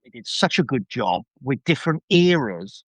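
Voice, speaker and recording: A man's voice: mid-range voice, big pitch swings, moderate pace, dry recording, rough recording. A man chatting casually as a podcast guest, giving an enthusiastic fan review full of rambling asides.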